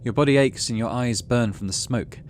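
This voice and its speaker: A man's voice: mid-range voice, a little fast. A man hosting a let's play, commenting on a game and reading its text aloud. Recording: clear background noise.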